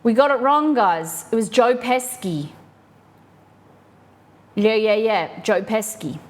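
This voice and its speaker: A woman's voice: fairly high pitch, animated, slow pace. A woman hosting a live stream, chatting casually and reading trivia clues aloud to her viewers while baking in her kitchen. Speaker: streamer host.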